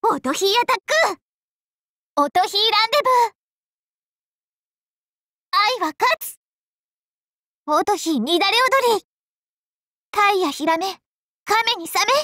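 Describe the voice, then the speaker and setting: Girlish game dragon princess, extremely high voice, unhurried, sweet, doting, theatrical, polite. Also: lively intonation, bright, forceful tone, no echo, clean studio sound, exaggerated voice. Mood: spirited battle cries, then tearful, pleading. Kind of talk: Japanese mobile game character voice acting.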